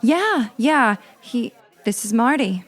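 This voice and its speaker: Casting: polite young female lodger played by a woman, apologetic, somewhat high voice, old-time radio spoof. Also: animated, slow. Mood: hesitant.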